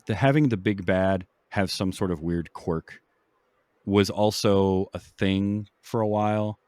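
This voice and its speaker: A man's voice: fairly low pitch, darkish tone, dry recording. A man hosting a casual podcast discussion about films. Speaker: podcast host.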